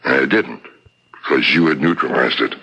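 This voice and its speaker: Deep, forceful police detective, old radio mystery. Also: male voice, normal speed, vocal fry, husky, full character voice. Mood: accusing. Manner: accusing, methodical, insistent. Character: shrewd, relentless male police detective laying out a murder case. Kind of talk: 1970s radio mystery drama acting. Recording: dull 1970s radio broadcast recording.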